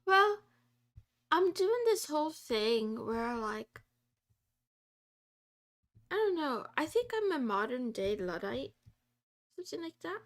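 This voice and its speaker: A woman's voice: high voice, big pitch swings, slow, pauses often, a bit bright. A woman live-streaming while she codes, chatting casually and rambling with lots of fillers, talking to her viewers and laughing often.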